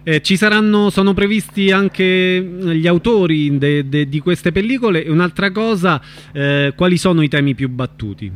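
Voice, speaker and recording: A male radio host with a voice a bit higher than most men's. He interviews in a conversational, friendly and informal manner. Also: moderate pace, few pauses. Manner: informal, friendly, frequent backchannel agreement.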